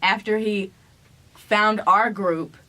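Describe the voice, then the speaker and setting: A woman's voice: medium pitch, steady tone, slow, sharp tone, poor audio. A young woman in casual storytime chat, teasing and playfully dramatic as she retells things. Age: young adult. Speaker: vlogger telling a couple story.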